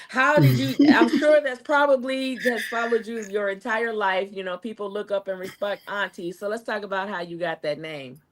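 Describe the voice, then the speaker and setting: A woman's voice: medium pitch, moderate pace. A woman hosting a casual interview show, upbeat and laughing often as she welcomes her guest. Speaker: host.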